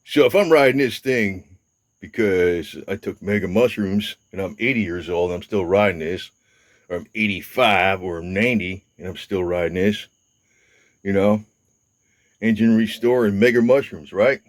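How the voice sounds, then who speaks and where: An older man in his seventies rambles through a casual video update, full of fillers, restarts and mild swearing. His voice sits very low. The sound is dry, with almost no room echo. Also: moderate pace. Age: 70s. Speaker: motorcycle vlogger. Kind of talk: casual rambling talk to camera.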